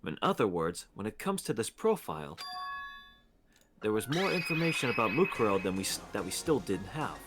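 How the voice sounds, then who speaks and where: A man's voice: fairly low pitch, big pitch swings. Casting: arrogant male teen student, played by a man, curt and superior, game dialogue with faint music behind. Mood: smug, explanatory. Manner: condescending, measured. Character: haughty, condescending teenage male student, curt and impatient. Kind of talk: amateur voice acting in a game playthrough.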